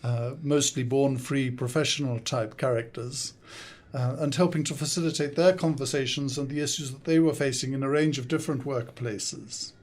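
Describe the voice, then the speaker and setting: An older man with an English accent talks as a podcast guest in a studio. His pitch sits in an ordinary middle range. He answers thoughtfully and pauses often as he gathers his thoughts. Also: fairly even intonation. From South Africa, raised in the UK. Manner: thoughtful, measured, with frequent hesitations. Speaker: guest, consultant and coach. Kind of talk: podcast interview.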